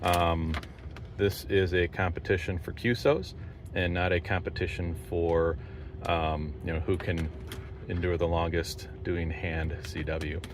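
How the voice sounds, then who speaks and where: A man giving a casual, unscripted walkthrough for a hobby video, thinking aloud in a halting, rambling way. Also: deep voice. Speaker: amateur radio operator making a vlog. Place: in and around a parked truck. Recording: steady background noise of car and outdoors.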